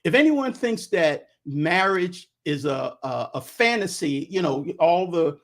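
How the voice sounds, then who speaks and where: A man, speaking a little higher than most men. He talks about marriage as a guest instructor in a casual, halting way, stopping and restarting often with ums. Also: lively intonation, fairly bright tone. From Brooklyn, New York.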